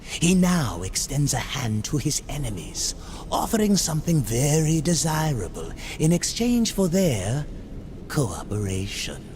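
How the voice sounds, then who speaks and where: A demonic game merchant, sly and theatrical. The speech is slow and insinuating, with wildly swinging pitch. Faint noise sits behind the voice. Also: male voice, very animated, a little mellow. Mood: sly bargaining. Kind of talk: video game cutscene acting.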